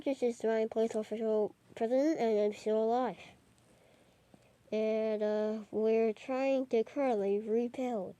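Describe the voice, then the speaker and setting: A woman reading a homemade mock emergency broadcast in a halting, improvised way with stumbles. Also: fairly high pitch, mellow, no room sound. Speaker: narrator of a homemade emergency alert scenario.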